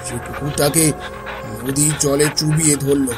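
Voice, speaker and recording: An actor playing a grave elder brother who recounts an ominous village tale with deliberate, dramatic pauses in a horror audio drama. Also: male voice, on the high side, steady tone, over music, close to an everyday voice. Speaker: storyteller character.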